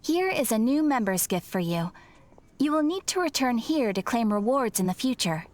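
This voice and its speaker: An actress playing a polite young guild receptionist in a video game, explaining things in a courteous, formal and measured manner. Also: female voice, on the high side, sing-song, darkish tone. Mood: polite, instructive. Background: game music.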